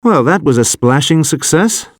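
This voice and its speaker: An actor playing a suave, unflappable British secret agent in a video game, wry and understated. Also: male voice, fairly high pitch, expressive, moderate pace, no echo, clean studio sound, lightly acted. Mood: dryly satisfied.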